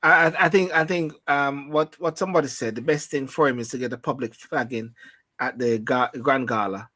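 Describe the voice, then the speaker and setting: A man's voice: medium pitch, fairly even intonation, moderate pace, sharp tone, dry recording, studio quality. A man hosting a casual rum-bar style talk show, rambling and repeating himself as he loses his thread.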